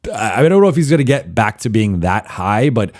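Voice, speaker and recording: A man who is a basketball reporter hosting a solo mailbag podcast, his voice hoarse and raspy, talking through statistics analytically with frequent "uh" pauses. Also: fairly low pitch, very animated, darkish tone, dry recording, studio quality. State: hoarse, partly lost voice. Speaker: basketball reporter and show host.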